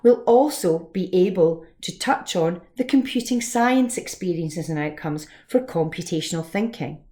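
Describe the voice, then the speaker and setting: A woman's voice: fairly low pitch, lively intonation, normal speed, hardly any pauses, warm tone. A woman giving a scripted educational presentation as a lesson presenter. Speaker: teacher presenting a read-along lesson.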